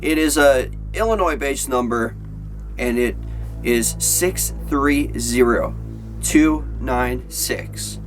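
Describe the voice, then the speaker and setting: Male video host, casual and hesitant delivery, lowish-to-middle everyday pitch, clear music bed behind. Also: takes it slowly, poor audio. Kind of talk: casual chat to viewers.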